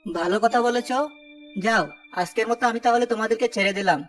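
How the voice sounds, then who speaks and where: A woman's voice: a little high, fast talker, faint music behind, natural voice. A woman playing a greedy, irritable village man in a children's cartoon. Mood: won over.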